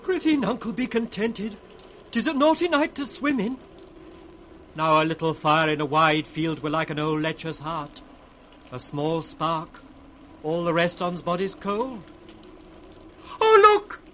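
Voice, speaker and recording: Cheeky, jittery jester, high and shrill, vintage radio play with faint noise. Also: male voice, animated, a little slow, long pauses, pushed and bright. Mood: jittery jesting. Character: quick-witted, shrill court fool, cheeky and anxious. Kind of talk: 1960s Shakespearean radio acting.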